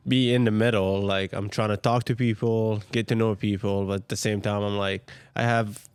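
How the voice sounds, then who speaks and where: A young man from Jordan speaks as an interview guest in a lowish, flat, smooth voice. He is soft-spoken and often searches for the right word, and the recording is dry and close. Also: moderate pace. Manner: soft-spoken, searches for words, many "like" fillers. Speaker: guest, restaurant co-owner. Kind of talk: casual interview chat.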